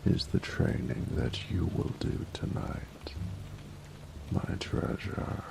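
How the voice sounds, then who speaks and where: A man playing a flirty, adoring dragon mentor in an intimate romantic audio roleplay, speaking formally in a gravelly voice that often drops to a whisper. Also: sits low, noisy background, exaggerated voice. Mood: patient, coaxing. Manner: slow, formal, doting, seductive.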